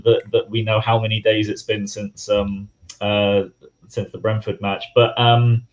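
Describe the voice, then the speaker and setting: A hoarse man from England, a guest fan, chatting casually and rambling with lots of fillers. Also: fairly low pitch, little pitch movement, unhurried, highs cut off. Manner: rambling, many fillers and restarts. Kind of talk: casual chat.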